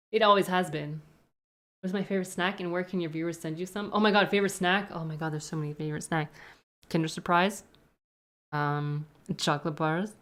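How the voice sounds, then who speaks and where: A young woman with a Canadian accent and a slightly low voice chats loosely with her viewers. She rambles, thinks aloud and hedges often as she answers questions. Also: a bit bright. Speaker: streamer. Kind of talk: casual live-stream chat answering viewer questions.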